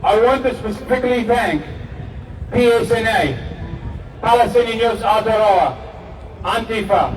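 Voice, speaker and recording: A man whose first language is Arabic, giving an earnest, measured speech to a crowd at an outdoor protest rally. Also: high-pitched, expressive. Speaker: rally speaker and emcee. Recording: echoey rally PA from far off with crowd noise.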